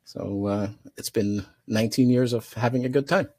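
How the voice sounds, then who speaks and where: An older man introducing himself as an instructor in a halting, deliberate, informal way. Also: medium pitch, mellow, dry recording. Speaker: chief flight instructor, panelist. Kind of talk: informal self-introduction on a panel. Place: room at home, indoors. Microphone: headset on video call.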